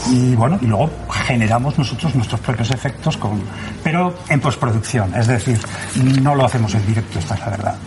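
A man's voice: medium pitch, fairly quick, over music. A man with an accent from Spain, explaining his radio theatre work in a conversational way. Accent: Spanish, from Spain. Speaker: radio theatre maker being interviewed.

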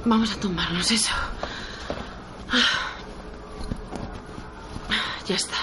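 A man's voice: high-pitched, steady tone, fast, noisy background, lightly acted. A man playing an anguished, tender survivor caring for a loved one, acting and narrating in a radio serial. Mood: pleading, desperate. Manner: tender, distressed. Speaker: actor in an audio drama.

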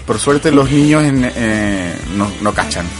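A man with a Chilean accent co-hosting a casual radio chat, talking in relaxed slang and often laughing. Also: medium pitch, slow, music in the background. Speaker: radio co-host.

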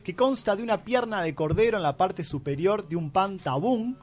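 A Uruguayan man hosts a radio interview with a slightly high voice. He is chatty and keeps the talk moving with follow-up questions. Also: expressive, moderate pace. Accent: Uruguayan Spanish. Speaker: radio host.

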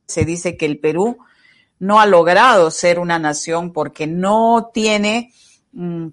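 A woman, interviewing in a measured, courteous way. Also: on the low side.

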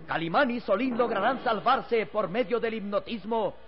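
A man's voice: high voice, normal speed. A man narrating an old radio serial in a grave, emphatic, scripted style. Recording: dull old radio recording with music.

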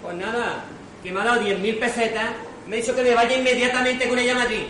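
A man's voice: very high voice, fairly even intonation, fast, sharp tone, far from the mic. A man in an amateur stage farce playing a flustered, exasperated servant who protests in colloquial speech, in an echoey noisy hall.

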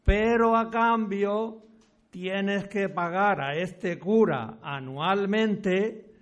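Man playing a slow-speaking, high-voiced village priest. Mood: explaining, formal. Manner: deliberate, formal, old-fashioned speech. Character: courteous, authoritative village parish priest of the 1700s. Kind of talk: amateur historical radio drama acting.

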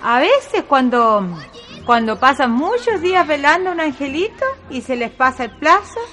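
A woman's voice: sits high, lively intonation, moderate pace, pushed and bright, faint music behind. An actress playing a plain-spoken, devout country woman with a rural Chilean accent in a radio drama. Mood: matter-of-fact. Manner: colloquial, matter-of-fact.

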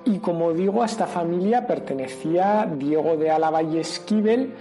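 A man's voice: on the high side, normal speed, warm tone, faint music behind. A man with an accent from Spain giving a didactic, explanatory radio talk on history. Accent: Spanish from Spain. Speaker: local heritage presenter.

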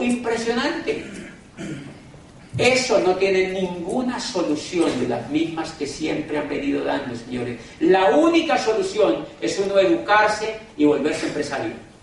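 A man with a rather high voice delivers an emphatic, sermon-like business pep talk to a live audience. He drags out key words and keeps addressing the crowd directly. The recording is distant and booming with room echo, with faint noise underneath. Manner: dramatic slowdowns and pitch swings for emphasis. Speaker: business motivational speaker. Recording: echoey hall from far off, faint noise.

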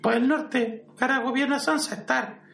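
High, stammering timid priest. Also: male voice, fairly even intonation, normal speed, vocal fry, fairly bright tone, exaggerated voice. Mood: hesitant explaining. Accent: Spanish from Spain. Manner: stammering, hesitant, apologetic. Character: timid, rambling priest, caretaker brother of a fallen conqueror. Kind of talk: amateur parody audio drama. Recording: roomy, distant mic, music underneath.

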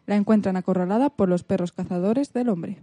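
A woman's voice: mid-range voice, fairly quick, warm tone, no echo. A woman reading a story summary as a scripted narration.

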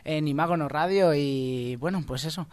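A man's voice: a little high, moderate pace, darkish tone, smooth. A man with an accent from Spain, hosting a comedy radio show in joking, casual banter. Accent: Spanish from Spain. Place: radio studio.

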